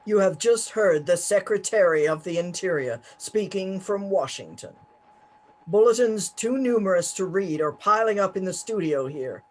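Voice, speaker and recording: A man's voice: high voice, poor audio. A man playing an urgent, formal news announcer reading bulletins in a 1930s-style radio play.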